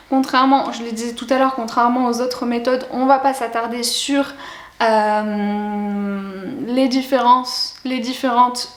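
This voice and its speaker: A woman presenting an educational video, explaining in a didactic way and addressing viewers directly as a language teaching presenter. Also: fairly high pitch. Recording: echoey, roomy sound.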